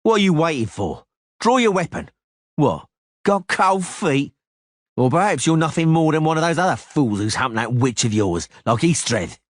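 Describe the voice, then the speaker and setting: A man voicing a brash, hot-headed young thug who jeers and taunts loudly. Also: a little high, big pitch swings, moderate pace, dry recording, studio quality, voice pushed up. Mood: taunting. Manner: jeering, loud, challenging. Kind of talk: audiobook character voice.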